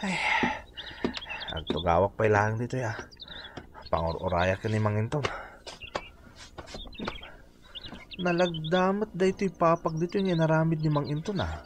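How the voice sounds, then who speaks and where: A man's voice: fairly high pitch, expressive, moderate pace, background noise, lightly acted. A man with an Ilocano Filipino accent acting an eager, helpful young village volunteer in a radio drama. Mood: weary.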